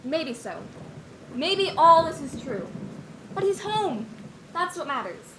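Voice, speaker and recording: A young woman playing a dotty, doting mother in stage comedy, fussy and mock-genteel. The voice sits high and carries from a distance, with clear noise around it. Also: sing-song, slow, full character voice. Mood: fussy denial. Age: teen. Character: dotty, doting wife and mother in stubborn denial. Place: school theatre stage. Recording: echoey noisy stage, distant mic.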